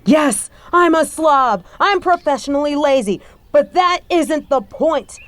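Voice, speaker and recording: A woman performs a bitter adult daughter in a scripted audio drama. Her voice is high for a woman. She speaks in a scathing, confrontational way and swears freely as she takes her neglectful stepfather to task. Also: expressive, unhurried, dry recording. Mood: accusing, angry. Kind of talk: scripted audio roleplay monologue.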